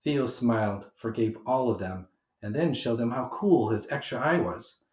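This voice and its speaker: A man's voice: medium pitch, moderate pace. Man reading a storybook in a roomy space. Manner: animated, emphatic delivery for young listeners. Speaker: storyteller reading a children's book aloud. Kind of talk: expressive children's read-aloud narration. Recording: roomy homemade recording.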